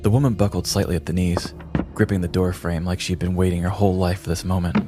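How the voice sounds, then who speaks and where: Casting: male narrator, very deep and even voice, clear music underneath. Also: fast. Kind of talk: scripted comedic narration.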